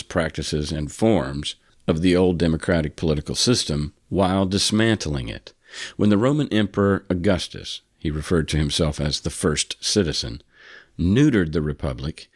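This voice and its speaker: A man's voice: low-pitched, dry recording, studio quality. A man with an American accent reading a scripted podcast introduction as the host.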